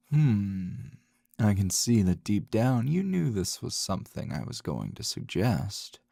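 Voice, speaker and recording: Man acting a slow, gravelly, teasing weary teacher. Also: lowish voice, big pitch swings, mellow, dry recording, full character voice. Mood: suggestive, coaxing. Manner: languid, drawling, with sighs and pauses. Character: weary, low-voiced adult male teacher, teasing, flirtatious and dry. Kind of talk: intimate ASMR roleplay acting.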